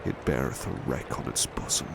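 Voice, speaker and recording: A man playing a devoted, proud butler in a soft-spoken ASMR roleplay, speaking in a hushed, formal and caring way. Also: lightly acted. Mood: melancholy, tender. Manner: hushed, slow, formal, gently solicitous. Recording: strong background ambience noise.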